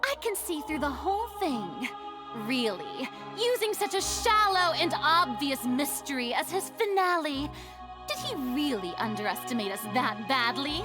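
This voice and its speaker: A pompous young female judge from a video game, very high and swooping. She declaims with theatrical self-importance over clear music. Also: very animated, unhurried. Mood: smug.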